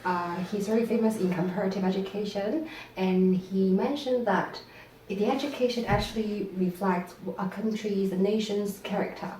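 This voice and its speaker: A woman teacher, answering interview questions thoughtfully with frequent fillers and pauses. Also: mid-range voice, warm tone. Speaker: visiting teacher from Hong Kong. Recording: roomy, distant mic, faint room noise.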